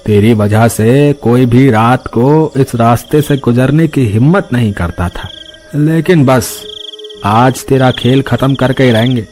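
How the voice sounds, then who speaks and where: A man's voice: mid-range voice, unhurried, darkish tone, faint music behind, natural voice. A man voicing a bold, angry villager in a melodramatic cartoon, declaiming with emphasis. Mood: angry, defiant.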